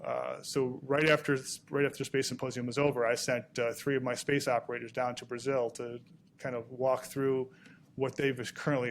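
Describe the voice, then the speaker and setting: A man speaking on a conference panel, halting often, with many restarts and fillers. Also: medium pitch, slight background noise. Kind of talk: panel talk. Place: conference room.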